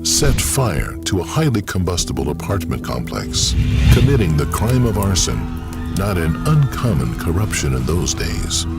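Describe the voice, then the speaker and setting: A man narrating a scripted dramatic story in a measured, wry storyteller's manner. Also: rumbling low. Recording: loud music behind.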